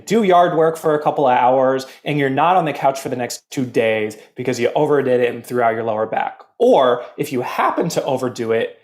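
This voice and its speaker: A man appearing as a podcast guest, calmly explaining health and fitness topics in casual conversation. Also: mid-range voice, brisk, few pauses. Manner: calm, explanatory. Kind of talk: casual interview chat.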